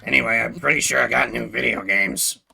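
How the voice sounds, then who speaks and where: A man's voice: medium pitch, brisk, gravelly, pushed and bright, slightly rough, soft background music. A man doing solo video game commentary, reading game text aloud dramatically and adding sardonic, rambling asides. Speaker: game streamer.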